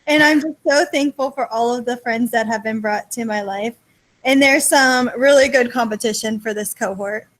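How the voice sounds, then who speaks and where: A woman chatting on a webinar call in a bubbly, quick manner. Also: a little high, poor audio. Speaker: webinar panelist. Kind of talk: casual video call chat. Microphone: video call.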